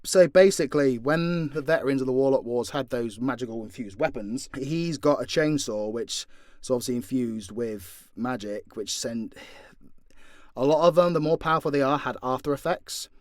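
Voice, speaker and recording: A man's voice: medium pitch, normal speed, a little mellow, dry recording, studio quality. A British man answering fan questions in a casual, unscripted way, blunt and joking, with plenty of swearing. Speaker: voice actor and content creator answering fan questions.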